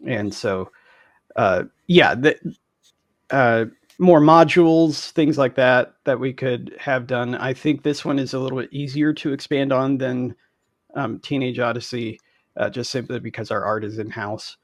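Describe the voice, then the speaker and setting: A man's voice: mid-range voice, unhurried, no echo. A man talking casually as a game creator being interviewed on a video call.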